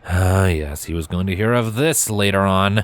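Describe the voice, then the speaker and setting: A man reading a story aloud as narrator, in an expressive scripted storytelling style. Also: deep voice, moderate pace.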